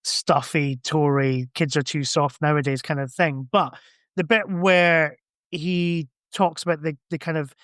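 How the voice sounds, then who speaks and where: A man's voice: on the high side, fairly even intonation, unhurried, a bit bright, clean tone, dry recording, studio quality. A man chatting casually in a rambling, hedging way, as a guest on a podcast. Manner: hedging, rambling, full of kinda and sort of. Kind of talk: casual chat about science fiction books.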